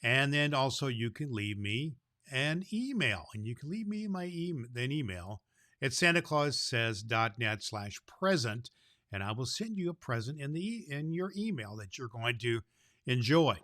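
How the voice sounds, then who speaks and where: A man playing a kindly old Santa Claus, hosting a children's Christmas show in a folksy, deliberate storyteller's manner. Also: mid-range voice, very animated, sharp tone, dry recording, clean studio sound. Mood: friendly, inviting. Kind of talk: scripted announcements and storybook reading for children.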